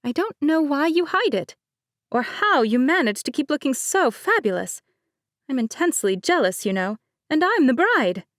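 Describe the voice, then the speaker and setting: A woman's voice: high voice, very animated, moderate pace, dry recording, studio quality, voice pushed up. A woman voicing a bubbly, well-off young bride who teases and gushes. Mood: teasing. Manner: gushing, teasing, bright.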